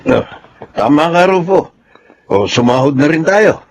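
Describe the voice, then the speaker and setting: A man's voice: on the high side, big pitch swings, measured pace, a little hiss or hum. A man playing a hard-drinking, boastful family man with a Filipino accent in a radio drama. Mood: breezy, cocky.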